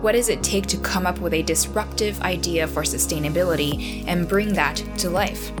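A woman's voice: on the low side, normal speed. Upbeat woman podcast host over music. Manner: enthusiastic, upbeat delivery. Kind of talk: scripted intro and interview questions.

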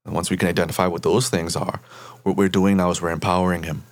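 A man from the Bahamas, a therapist guest, explaining at length in a measured way and often checking in with "right?". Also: deep voice, flat delivery. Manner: measured, tags points with "right?".

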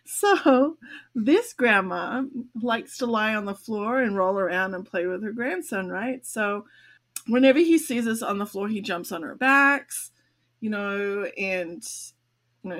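An older woman, a grandmother and podcast co-host, telling a long personal story in casual chat, laughing often as she talks. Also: medium pitch. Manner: chatty, laughs often while telling stories.

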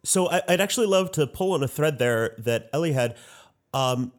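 A man's voice: a little high, animated, moderate pace. A man moderating a roundtable conversationally, phrasing his points tentatively and carefully. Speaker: panel moderator.

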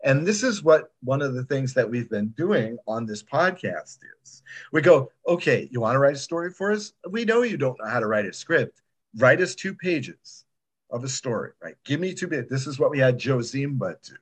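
A man's voice: medium pitch, big pitch swings, moderate pace, husky. A man talking casually as a podcast guest, blunt and emphatic, often checking in with his listeners. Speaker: writer and podcast producer, guest. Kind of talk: casual interview talk, giving tips.

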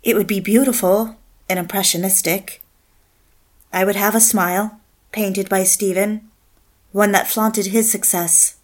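An actress playing a young woman in love, confiding and reflecting in an earnest, measured dramatic delivery. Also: medium pitch, fairly even intonation, close to an everyday voice. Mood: brooding, reflective. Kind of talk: dramatic dialogue and reflective first-person narration.

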